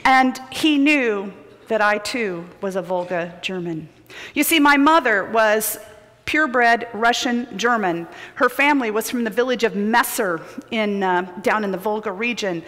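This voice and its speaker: A middle-aged woman preaching a sermon built on personal stories, shifting between loud urgency and hushed near-whispers. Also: on the high side, unhurried. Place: large church sanctuary with choir loft. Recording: long hall reverb of a large sanctuary.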